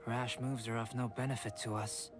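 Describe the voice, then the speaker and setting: An actor playing a calm, aloof young male alchemist in a video game, speaking in a measured, formal and reserved manner. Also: mid-range voice, lightly acted. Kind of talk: scripted video game dialogue. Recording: background music under the voice.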